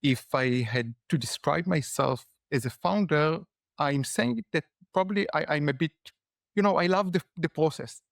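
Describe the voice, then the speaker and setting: A man with an Israeli accent talks in a fairly high voice, thinking aloud and padding his answers with "you know". The sound is close and dry, with no room around it. He speaks as a guest in a casual interview. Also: normal speed, gravelly, no room sound. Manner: reflective, with frequent "you know" fillers. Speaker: guest, startup founder and lecturer.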